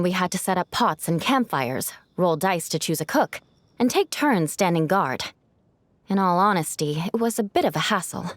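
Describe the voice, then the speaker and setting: A woman playing a proud, composed young knight captain in a video game, speaking in a measured, formal way with dry wit. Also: medium pitch, lightly acted. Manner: measured, formal, dryly wry. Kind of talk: video game character dialogue. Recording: studio voice over game music.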